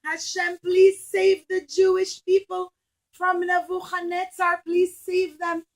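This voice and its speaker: A woman's voice: extremely high pitch, monotone, slow pace, voice pushed up. A woman telling a children's puppet-show story as a kind matriarch praying and pleading for her people. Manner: beseeching.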